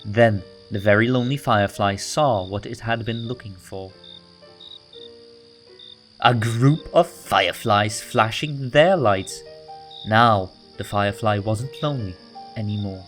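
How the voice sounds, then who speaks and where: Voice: medium pitch, unhurried, a bit bright. A narrator reading a children's story aloud from a script, in a storybook style. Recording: music bed under the voice.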